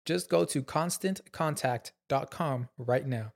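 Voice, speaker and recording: A man reading a scripted promotional ad in a measured announcer's delivery. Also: medium pitch, vocal fry. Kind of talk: scripted radio ad read.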